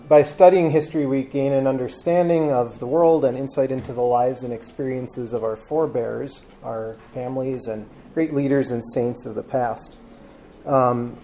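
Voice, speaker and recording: A man giving a casual live talk to an audience, relaxed and hesitant, with frequent ums. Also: medium pitch, slight background noise, low-quality recording. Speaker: travel talk presenter. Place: live talk before a seated audience with drinks.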